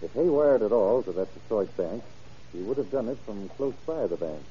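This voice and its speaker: An actor as a steady police officer in an old radio crime drama. His voice is very dark and fairly low, with a methodical, matter-of-fact delivery. The recording is dull. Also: moderate pace, slight background noise, lightly acted. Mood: purposeful. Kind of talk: 1940s-50s radio crime drama acting.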